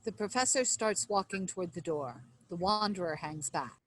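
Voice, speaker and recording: A woman's voice: fairly low pitch, lively intonation, normal speed, fairly bright tone, slight background noise, rough recording. Woman reading stage directions.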